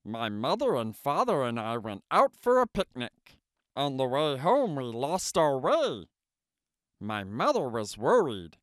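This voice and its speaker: A man's voice: medium pitch, huge pitch swings, unhurried, no echo, studio quality. A man voicing Frog, a cheerful, patient grown male frog, reading a storybook aloud. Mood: storytelling. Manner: encouraging, storytelling.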